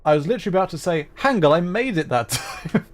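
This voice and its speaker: A man's voice: high voice, big pitch swings, fast talker. A man casually commentating live gameplay for his chat, thinking aloud and interrupting himself as he goes. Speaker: livestreamer, host.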